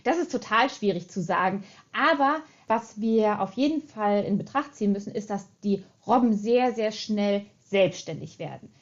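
A woman's voice: medium pitch, expressive, normal speed, hardly any pauses, dull audio. A woman speaking as an expert researcher, giving explanatory interview answers. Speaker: seal researcher, expert guest.

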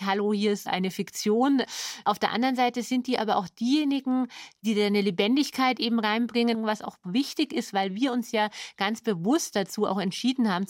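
A woman's voice: mid-range voice, no room sound, clean studio sound. A woman explaining her work in a conversational interview. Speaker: radio drama maker.

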